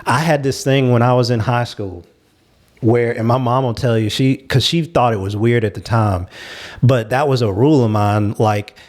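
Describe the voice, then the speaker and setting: A man speaks as a panelist on a talk show. He is agreeable and candid, laying out his points at length in a mid-pitched voice. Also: moderate pace, darkish tone.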